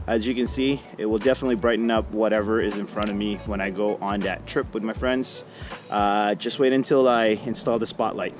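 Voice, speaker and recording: A man's voice: fairly low pitch, fairly even intonation, a little fast, over music. A man hosting a vlog in casual, laid-back, slangy chat with an upbeat manner. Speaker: vlog host.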